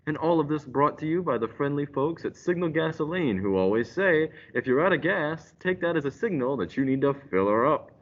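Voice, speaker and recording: A man's voice: lively intonation, brisk, hardly any pauses, close to an everyday voice. A young man playing a pompous, unflappable live radio announcer with a grandiose, declamatory delivery. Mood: dramatic announcing. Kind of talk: old-time radio announcer parody. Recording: dull, noisy recording with rumble.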